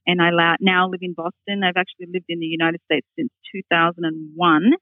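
A woman's voice: lowish voice, flat delivery, brisk, no echo. A middle-aged woman with an Australian accent talks as an interview guest, articulate and upbeat. Her voice comes through a thin, phone-like line. The sound is close and dry, with no room around it. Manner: articulate, upbeat, laughs readily. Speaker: public speaking coach, guest. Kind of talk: casual interview answers.

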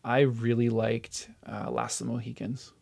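A man's voice: mid-range voice, flat delivery, moderate pace, vocal fry, darkish tone, husky. A man chatting casually as a podcast guest, informal and jokey. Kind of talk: casual chat, answering quick-fire questions.

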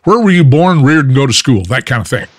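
A man's voice: mid-range voice, big pitch swings, brisk. A man hosting a radio cooking show, upbeat and folksy in casual interview chat.

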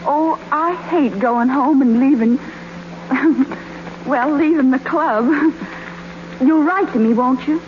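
An actress playing a sweet, coy young woman gently coaxing her shy sweetheart in a 1930s radio romantic comedy. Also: high voice, takes it slowly, pauses often. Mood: fond, coaxing. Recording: noisy, muffled 1930s radio broadcast.

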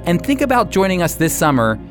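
A man's voice: sits high, sing-song, fairly quick. A man who teaches the Bible, presenting a scripted promotional read on the radio. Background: music.

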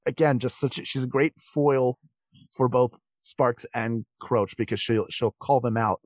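A man's voice: mid-range voice, fairly even intonation, unhurried, darkish tone, no room sound. A man, a voice actor, telling anecdotes in a casual podcast interview.

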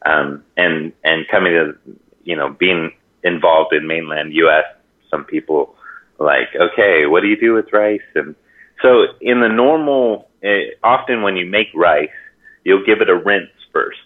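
A man from Hawaii explaining things casually and haltingly, pausing often mid-sentence, over a call. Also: sits low. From Hawaii, United States. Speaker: interview guest, natural farming expert. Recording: narrow tinny phone-like line.

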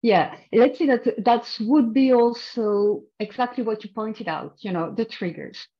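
A woman's voice: a little high, a little slow, dull audio. A middle-aged woman with a French accent, a guest coach explaining her ideas thoughtfully in a podcast interview, often restarting her sentences. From France.

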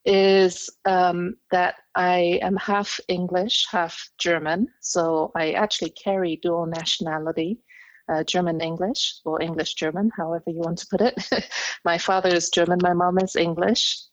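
A woman whose first language is Indonesian, speaking as an interview guest and telling her life story in a thoughtful, measured way. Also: lowish voice, fairly even intonation, no room sound, rough recording. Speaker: interview guest, communication skills trainer.

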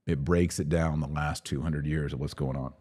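A man's voice: extremely low pitch, fairly even intonation, moderate pace, mellow, husky, no room sound. An American man hosting a casual livestream, chatting informally with playful slang as he answers viewers. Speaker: podcast host.

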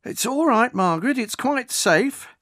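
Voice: mid-range voice, big pitch swings, moderate pace, creaky, no room sound, clean studio sound, voice pushed up. A narrator voicing an older man at a delivery desk, speaking with forced professional cheer. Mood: coaxing. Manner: professional good cheer, coaxing. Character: old male drone delivery worker, falsely cheerful.

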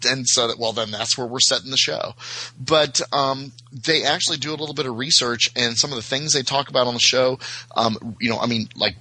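Middle-aged American man, very bright, forceful voice. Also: medium pitch, poor audio. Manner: rambling, hesitant, frequent filler words. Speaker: podcast guest, author. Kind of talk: casual chat about TV shows.

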